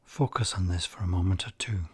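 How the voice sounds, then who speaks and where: A man guiding a meditation, speaking softly and calmly, some phrases nearly whispered. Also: sits low. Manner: soft-spoken, calm and unhurried, with breathy near-whispered phrases. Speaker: meditation guide. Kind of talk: slow guided meditation.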